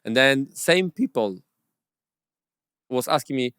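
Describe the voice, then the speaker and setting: A man who is a property investor, telling his story as an interview guest in halting, non-native English with many fillers and restarts. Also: medium pitch, animated, a bit bright, smooth, no room sound, studio quality.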